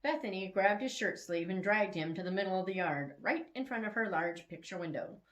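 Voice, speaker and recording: A woman reading fiction aloud in an expressive, dramatic storytelling style. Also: medium pitch, fairly quick.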